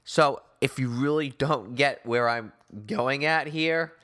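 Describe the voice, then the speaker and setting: Bright, forceful male sports host. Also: mid-range voice, slow pace. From Newton, Massachusetts, United States. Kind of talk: opinion monologue on sports talk show.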